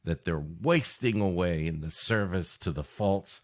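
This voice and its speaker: A man co-hosting a podcast with improvised comic banter in a halting, mock-earnest, repetitive way. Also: deep voice, lively intonation. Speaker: podcast co-host.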